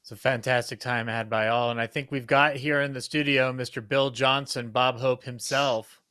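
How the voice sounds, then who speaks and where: A man with a bright, forward voice hosts a casual live interview. He talks haltingly, doubling back on phrases, in a very dry sound. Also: mid-range voice, steady tone, clean tone, no echo. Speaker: host interviewer.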